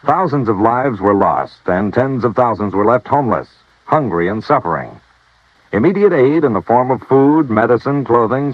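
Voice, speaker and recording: A man reading scripted announcements in a measured, solemn, formal 1950s radio announcing style. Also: mid-range voice, dry recording. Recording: dry, muffled vintage radio recording.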